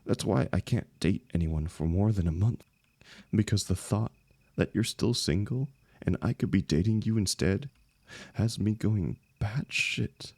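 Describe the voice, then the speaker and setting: A man acting a lovelorn young heartbreaker in an intimate, emotional audio roleplay, speaking haltingly and rawly to a longtime friend. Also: on the low side, gravelly, a little mellow, husky, no room sound, exaggerated voice. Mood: desperate, heartbroken. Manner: halting, stammering, swearing, emotionally raw.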